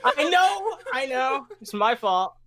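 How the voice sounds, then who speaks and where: A man who is a guest on a casual podcast, ranting and swearing in quick bursts. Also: extremely high pitch, very animated, a crowd in the background. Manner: profane, ranting, fast bursts.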